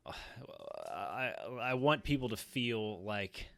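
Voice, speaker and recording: A man's voice: fairly low pitch, lively intonation, very slow, vocal fry, a bit bright. An American man in his thirties talking earnestly in a casual roundtable discussion, as a podcast panelist. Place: small room at home. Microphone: close boom-arm podcast mic.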